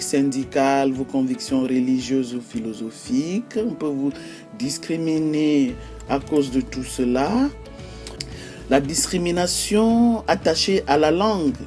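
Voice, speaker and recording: Slow, measured woman with a Cameroonian accent. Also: low-pitched, dark tone. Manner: measured, lists examples one by one with frequent hesitations. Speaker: YouTube host. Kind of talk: explanatory vlog talk.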